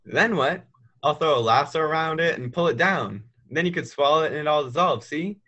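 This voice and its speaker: Teen boy as young male dreamer, American, rather high pitch, forceful bright tone, eager, earnest, radio play acting. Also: fairly quick. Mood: excited, boastful. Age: teens. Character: restless, dreamy, eager small-town young man. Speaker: high school drama student.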